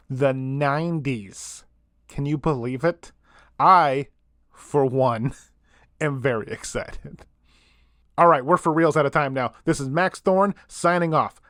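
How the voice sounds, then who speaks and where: A man plays a late-night host of a spooky eighties radio show. He talks casually and sympathetically, in a folksy, off-the-cuff way. His voice sits at an average pitch in a very dry studio. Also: no room sound, close to an everyday voice.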